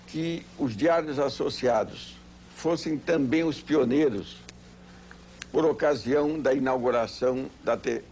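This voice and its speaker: A man's voice: medium pitch, a little mellow. Formal Brazilian man, faint hum behind. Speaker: television pioneer recalling broadcast history. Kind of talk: documentary interview testimony.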